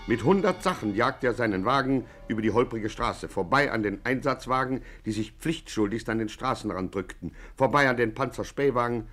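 An actor as the wry narrator, telling a mock-heroic gangster tale with solemn deliberation in a 1950s German radio play. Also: male voice, lowish voice, fairly bright tone.

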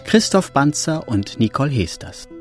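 A male announcer presents a radio play's credits in short scripted phrases. His medium-pitched voice swings widely in melody, with music clearly underneath. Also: very animated, normal speed. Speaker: announcer reading title and cast credits. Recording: music behind the voice.

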